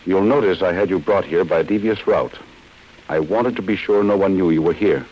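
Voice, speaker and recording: An actor with an American accent playing a cold, relentless chief insurance investigator in an old-time radio drama, speaking in a stern, deliberate way. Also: male voice, deep voice, slightly rough. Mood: cold, commanding. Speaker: actor in a radio detective serial. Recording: muffled, hissy old radio broadcast.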